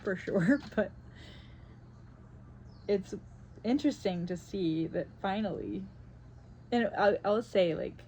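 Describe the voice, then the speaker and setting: A woman's voice: mid-range voice, slow, pauses often, mellow, slight background noise. A woman in her thirties from South Carolina with a faint Southern US accent, chatting casually and reflectively while she paints, with many fillers. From South Carolina, United States. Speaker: vlogger. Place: home on Maui.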